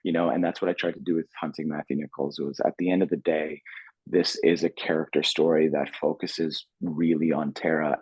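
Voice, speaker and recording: A man's voice: extremely low pitch, a little mellow, husky, no echo, rough recording. A man chatting casually and informally as a film director in an interview. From Canada. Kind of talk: casual chat.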